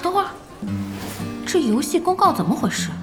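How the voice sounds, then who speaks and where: A woman's voice: high-pitched, very animated, a little fast, music in the background. An actress playing a feisty, blunt young woman who runs an internet cafe, brash and exclaiming, in an audio drama. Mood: brash surprise. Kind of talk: Chinese audio drama acting.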